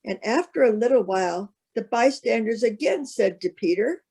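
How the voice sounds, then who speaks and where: A woman narrating a solemn Bible reading as a scripted piece, with measured, careful phrasing. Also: on the high side, animated, a little mellow, husky.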